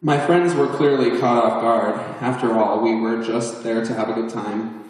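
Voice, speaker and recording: A man's voice: medium pitch, fairly even intonation, normal speed. A man reads his own memoir aloud at a live event, his voice ringing through a very echoey chapel with long reverb. His phrasing is literary and articulate, with a wry edge, and he is heard from some distance. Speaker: author reading at a book event. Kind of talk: live reading from a written memoir. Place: chapel, indoors, at a live book release. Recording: echoey chapel, picked up from far off.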